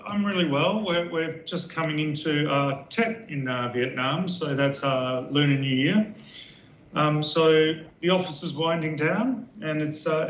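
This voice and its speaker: Man on a roomy lo-fi line. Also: a little high. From Western Australia. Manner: relaxed, many fillers and repeats. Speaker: architect guest. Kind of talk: casual interview talk. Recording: roomy, dull lo-fi sound with faint noise.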